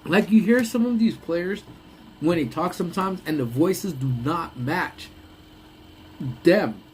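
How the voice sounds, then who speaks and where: A young man with a slightly higher voice that sounds congested. He rambles through a casual live chat, laughs often and trails off mid-thought. There is a faint hum of ambience behind him. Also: animated, slow pace, darkish tone. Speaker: live stream host.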